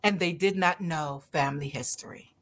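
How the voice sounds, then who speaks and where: A woman's voice: deep voice, normal speed, fairly bright tone. A middle-aged woman from Barbados, a doctor talking in an interview, earnest and emphatic, repeating her key phrases. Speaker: doctor and podcast guest. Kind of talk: conversational interview.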